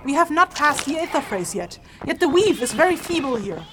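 A woman's voice: high-pitched, big pitch swings, a little fast, a crowd in the background, noisy background. A woman playing a stern, exasperated and analytical rune mage in a fantasy audio drama, matter-of-fact and dismissive. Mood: exasperated, firm.

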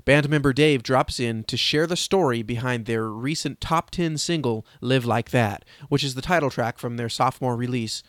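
A man's voice: mid-range voice, normal speed, hardly any pauses, no room sound, studio quality. A man hosting an audio newsletter, reading scripted news in an upbeat announcer manner and then interviewing a guest. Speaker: host. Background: music.

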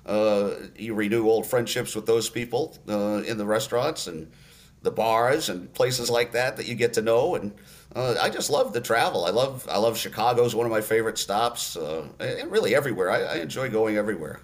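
A man in his sixties from Massachusetts, a radio announcer telling stories in a podcast interview with many "uh" pauses. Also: on the low side. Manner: relaxed storyteller, many "uh" pauses.

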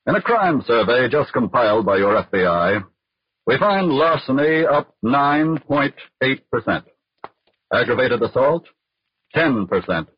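Husky 1940s American radio narrator man. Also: medium pitch, big pitch swings, unhurried, dry recording. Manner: formal, grave, declamatory. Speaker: radio crime series narrator. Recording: dull, husky old radio recording, music.

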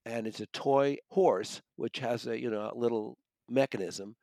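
An American man gives an unscripted book review in a medium-pitched voice. He halts and repeats words while he finds his phrasing. The sound is dry, with little room to it. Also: moderate pace, creaky, a little mellow, dry recording. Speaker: book reviewer, regular guest.

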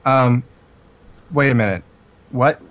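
A man's voice: medium pitch, slow, fairly bright tone, no room sound. A man on a podcast chatting casually in a silly, mock-serious way. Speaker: podcast co-host. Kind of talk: casual chat.

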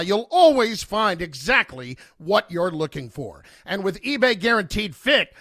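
A man with a high voice and lively, swinging intonation. He delivers a scripted advertisement as a commercial announcer. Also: no room sound, clean studio sound. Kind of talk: scripted car-parts advertisement read.

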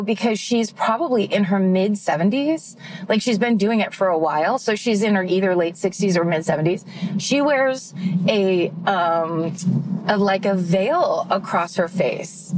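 Female podcast co-host, deadpan comedic riffs, mid-pitched, light background hiss. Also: unhurried, poor audio. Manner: sardonic, deadpan riffing, laughs often. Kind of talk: casual comedic chat.

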